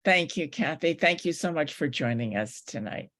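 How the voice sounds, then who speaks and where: A woman's voice: low-pitched, animated, normal speed, fairly bright tone. A woman moderating an online meeting informally, politely thanking speakers and handing over to others. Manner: polite, grateful.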